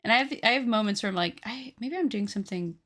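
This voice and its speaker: A woman's voice: medium pitch, fast talker, fairly bright tone. A woman in her late twenties chatting casually with her live-stream viewers while she crafts, joking and teasing herself. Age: 20s. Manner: chatty, joking with chat, self-deprecating.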